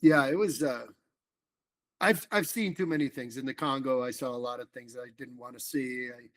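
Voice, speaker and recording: A middle-aged man from the Dominican Republic, talking casually as a podcast guest in an animated, rambling way with lots of fillers and restarts. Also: mid-range voice, normal speed, no room sound. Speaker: political organizer guest.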